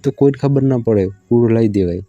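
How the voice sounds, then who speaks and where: Male actor as placating hard-up lover, Gujarati accent, very mellow dark tone, dry close sound, casual phone chat. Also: medium pitch, fairly quick, no room sound, faint music behind, lightly acted. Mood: dissuading. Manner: placating, bargaining. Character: hard-up village in-law lover, stalling and placating. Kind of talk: staged casual phone conversation.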